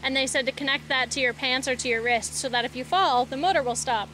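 A woman with a high voice hosts a casual travel vlog in an upbeat, playful way. She chats to viewers out in the open, with faint ambient noise behind her. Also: quick pace, sharp tone. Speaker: vlog host. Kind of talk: casual, chatty travel vlogging. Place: outdoors, mostly on a boat on a lake.

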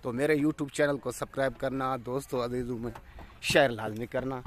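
A man's voice: mid-range voice, steady tone, moderate pace. A man, narrating a casual farm vlog outdoors into a phone camera with a repetitive salesman's patter. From Pakistan. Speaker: goat farm owner and channel host. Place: outdoors on a farm, village road.

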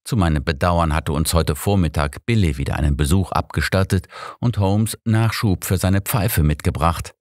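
A man's voice: sits low, no room sound, studio quality. A man reading a detective story as an audiobook narrator, in scripted narration.

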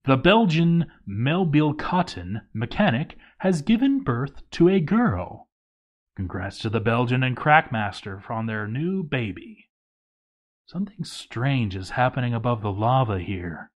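Male narrator, medium pitch, for dramatic readings of game playthrough tales. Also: sing-song, measured pace, dull audio.

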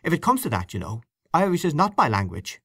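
A man voicing an awkward, defensive gentleman giving clipped, reluctant answers. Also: on the high side, huge pitch swings, a little fast, gravelly, breathy edge, no echo, studio quality, pitched above the performer's own voice, heavily acted.

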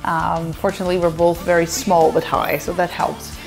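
A woman's voice: on the low side, level tone, normal speed, over music, low-quality recording. A woman in her thirties with a Dutch accent, chatting playfully to camera from a sofa as she answers fan questions. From Netherlands. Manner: chatty, playful, laughs often. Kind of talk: casual chat to camera. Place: indoor living room, seated on a sofa.

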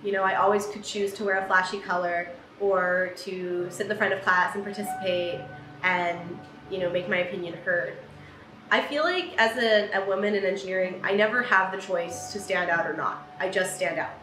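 A woman's voice: mid-range voice, moderate pace, slight background noise. A young woman around twenty, an engineering student, talking to camera in a chatty way with ums and restarts in a room by a large window. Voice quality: loud voice. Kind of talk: reflective talk to camera. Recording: roomy with background music.

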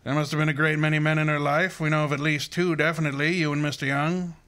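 A man acts out a commanding private detective from an old-time radio play. His voice is fairly high and strikingly forceful. He speaks in precise, formal sentences with a pompous, assured air. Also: little pitch movement, fast, clean tone, natural voice. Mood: grave, measured. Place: live stage before an audience.

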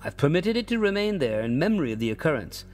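A man's voice: a little high, sing-song, quick pace, soft background music. A man voicing a stubborn male toll-gatherer who tells a tall tale in a storybook reading.

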